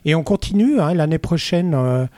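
A man's voice: on the high side, animated, moderate pace, darkish tone, no echo, studio quality. A middle-aged man with a Vaud Swiss French accent, a musician chatting in an interview with many hesitant fillers. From Switzerland. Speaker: musician guest. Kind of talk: casual interview chat.

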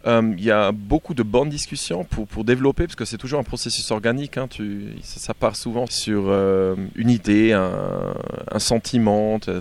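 A man being interviewed as a band singer, chatting casually and haltingly, often repeating words as he goes. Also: on the low side, vocal fry. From born in Algeria.

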